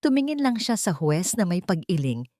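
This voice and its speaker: A woman's voice: lowish voice, big pitch swings, moderate pace, warm tone, no echo, studio quality. A woman with a Filipino accent reading a scripted dramatic story as its narrator.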